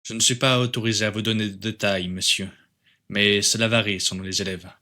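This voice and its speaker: A man's voice: fairly low pitch, little pitch movement, brisk, bright, forceful tone. A man playing a rigid, menacing agent of the authorities in an amateur webtoon dub, formal and insistent. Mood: coldly polite. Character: menacing male authority agent, rigid, coldly polite, disciplinarian.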